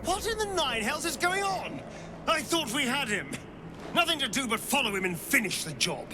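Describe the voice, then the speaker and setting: A man's voice: very high voice, very animated, moderate pace, background noise. An actor playing an exasperated, flippant male adventurer in a fantasy video game, in a dramatic style.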